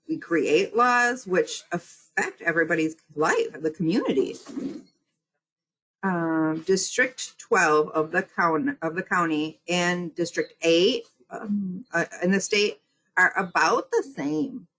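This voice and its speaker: A woman's voice: on the low side, animated, slow, fairly bright tone, rough recording. A woman who is a county supervisor and state representative, answering interview questions and explaining things step by step in lists.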